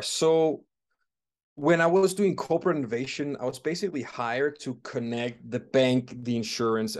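Low-voiced man from Denmark, founder chatting. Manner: thoughtful, frequent fillers and restarts while explaining. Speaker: startup founder and CEO. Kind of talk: conversational interview answers.

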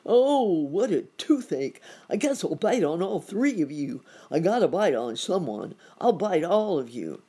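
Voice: medium pitch, very animated, slow pace, hardly any pauses, dark tone, husky, voice pushed up, heavily acted. A storyteller voicing a frantic, blustering storybook alligator who wails and repeats cries about a toothache. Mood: frantic, threatening. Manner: wailing, repetitive exclamations.

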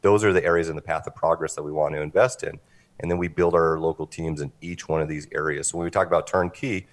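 A man giving an articulate, businesslike pitch as an interview guest, explaining things in a measured, polished way. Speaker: interview guest, company representative.

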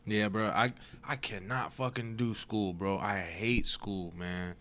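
A man's voice: lowish voice, fairly even intonation, measured pace, fairly bright tone. A young man chatting casually, blunt and profane, often laughing. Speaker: podcast co-host. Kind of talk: casual chat.

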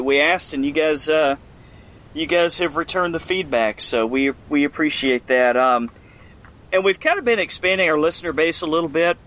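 A man's voice: on the high side, bright, forceful tone, poor audio. Eager, stumbling podcast co-host man. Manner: enthusiastic, stumbling restarts, laughs a lot. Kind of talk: casual chat.

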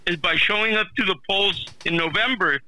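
A man's voice: sits high, a little fast, bright, forceful tone, no echo, poor audio. A man giving rallying closing remarks as a guest on a discussion. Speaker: interview guest.

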